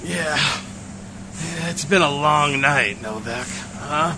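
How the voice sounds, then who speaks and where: Man acting a high-voiced halting radio-drama crook. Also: background noise. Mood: wounded, weary.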